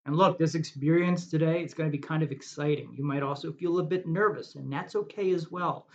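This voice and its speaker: A man guiding a meditation in a calm, measured way, asking reflective questions. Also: a little high. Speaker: meditation guide. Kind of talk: guided meditation. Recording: dull lo-fi recording.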